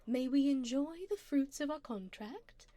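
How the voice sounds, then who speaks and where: A woman plays a refined, predatory vampire lady in an ASMR roleplay. Her voice sits a little high and moves very slowly. She is calm and formal, teasing the listener with flirtatious endearments. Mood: poised, businesslike.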